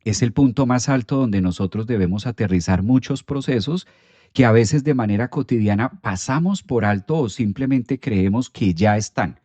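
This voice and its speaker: A man giving a calm, explanatory astrology talk during a live stream, with an occasional filler "eh". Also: medium pitch, moderate pace, dry recording.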